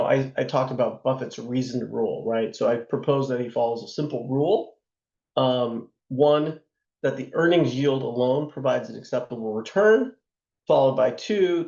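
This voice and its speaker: A man teaching a class on investing, hoarse from a sore throat, talking in a loose conversational way with plenty of fillers and asides. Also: medium pitch, a little mellow, reverberant, highs cut off. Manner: loose and conversational, many fillers and tag questions. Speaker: instructor. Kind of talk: lecture on investing.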